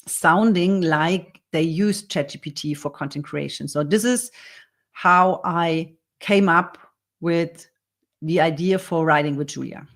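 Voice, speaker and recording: A woman with a German accent, a native German speaker, calmly talking through her answers in English as a copywriter teaching a workshop. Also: lowish voice. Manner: earnest, explains step by step in second-language English. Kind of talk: dictated spoken answers in a workshop demo.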